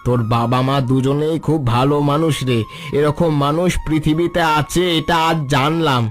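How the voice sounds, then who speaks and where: A soft-hearted cartoon bandit speaks over background music, voiced by a man. His pitch sits a little high for a male voice. He talks humbly and earnestly, in plain, familiar words. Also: steady tone, moderate pace, lightly acted. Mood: gentle, affectionate. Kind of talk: Bengali cartoon story voice acting. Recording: music playing under the voice.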